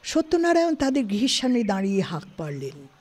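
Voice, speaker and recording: A woman narrating a literary story in an expressive, scripted style. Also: on the high side, fast. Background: music.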